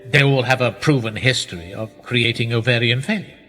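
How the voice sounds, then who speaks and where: An actor playing a powerful, manipulative titled gentleman in a radio play, measured and condescending, with quiet menace. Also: medium pitch, close to an everyday voice. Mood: persuasive. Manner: measured, condescending, with rhetorical tag questions. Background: music.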